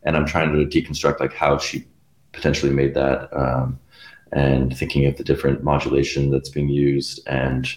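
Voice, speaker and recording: A man's voice: a bass voice, monotone, normal speed, darkish tone. A young man from Canada, a guest musician chatting casually in an interview, rambling with lots of fillers and laughing between phrases. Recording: roomy echoey room.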